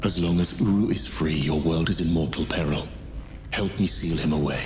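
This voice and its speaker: A man voicing a solemn, calculating god with a grave, measured manner in a video game. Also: very deep voice. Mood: grave persuasion. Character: solemn, calculating male god offering alliance. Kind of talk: video game cutscene acting. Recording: echoey, with music behind.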